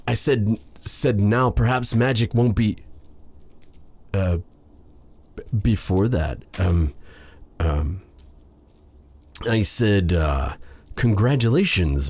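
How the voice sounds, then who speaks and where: A man playing a lovestruck, self-doubting exiled dark sorcerer in an intimate fantasy audio roleplay, breathy, halting and formal in his phrasing. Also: fairly low pitch, full character voice. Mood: flustered, embarrassed. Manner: flustered, stammering, halting, formal old-fashioned phrasing.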